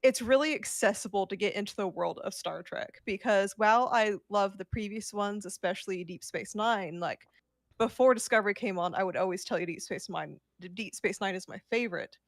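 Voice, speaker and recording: A woman's voice: mid-range voice, brisk, dry recording, studio quality. A woman podcast panelist talking casually and very informally. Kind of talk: casual chat about a TV show.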